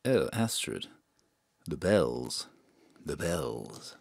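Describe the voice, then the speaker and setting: A lovelorn, croaking hunchback in an audio drama. The voice is extremely deep and husky, dragging very slowly with theatrical longing. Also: male voice, big pitch swings, no echo, studio quality, heavily acted. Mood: mock anguish. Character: young man playfully doing a lovelorn Quasimodo.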